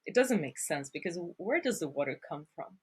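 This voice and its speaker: A woman's voice: deep voice, sing-song, brisk, breathy edge. A woman from Sweden, speaking English as a guest on a podcast interview, thoughtful and hesitant with frequent fillers. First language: Swedish. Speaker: guest, water surveyor.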